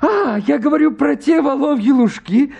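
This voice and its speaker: A man playing an excitable, pedantic, stubborn landowner suitor in a radio farce, arguing with fussy politeness. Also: very high-pitched, normal speed, vocal fry. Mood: indignant, insistent. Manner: fussily polite, pleading, argumentative. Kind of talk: Russian radio comedy acting.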